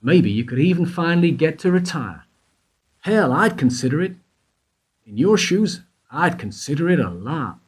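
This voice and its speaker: An actor playing a shrewd investigator in a radio play, interrogating in a deliberate, sardonic, accusing way. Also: male voice, a little high, sing-song, breathy edge. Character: shrewd, sardonic male investigator grilling a suspect.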